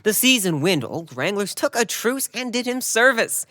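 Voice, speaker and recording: A woman's voice: medium pitch, very animated, normal speed, sharp tone, dry recording, studio quality. A woman playing a proud, scornful young prince in a Shakespearean audio drama, impassioned and rhetorical. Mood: impassioned argument.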